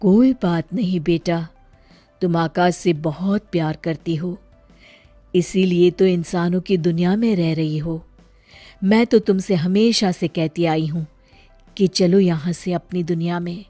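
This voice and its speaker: A woman playing the gentle, protective spirit of a mother in a story, soothing and tender. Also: on the low side, a little slow, no echo, faint music behind. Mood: comforting. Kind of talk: acted story dialogue.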